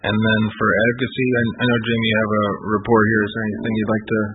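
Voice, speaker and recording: A man's voice: on the low side, little pitch movement, fast, over music. A man chairing a committee meeting, hesitant and full of fillers. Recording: tinny phone-like sound with faint noise.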